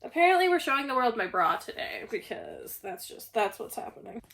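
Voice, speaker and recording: A young woman with a slightly hoarse voice, recovering from a cough, chatting casually at home about a stack of books. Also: on the high side, big pitch swings, brisk, fairly bright tone. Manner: chatty, self-deprecating, rambling. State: hoarse, getting over a cough. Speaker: book channel host. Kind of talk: casual book haul vlog. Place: at home, indoors.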